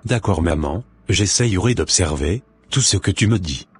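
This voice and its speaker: A man's voice: sits low, lively intonation, normal speed, slightly rough, faint music behind, heavily acted. A man playing a polite, obedient schoolboy son in a cartoon, speaking in a formal, deferential way. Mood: dutiful. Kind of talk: scripted cartoon dialogue.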